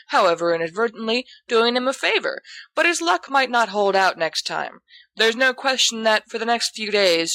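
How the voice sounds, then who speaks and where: A woman's voice: medium pitch, bright, forceful tone, dry recording. A woman reading scripted fiction as the narrator of an audiobook. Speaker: volunteer audiobook reader.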